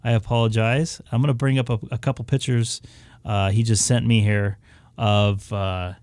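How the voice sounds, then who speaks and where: A hoarse man from southern California, rambling through a casual wrap-up of his radio stream with many filler sounds. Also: on the low side, little pitch movement, moderate pace, a little mellow, dry recording. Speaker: ham radio live streamer. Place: home radio station.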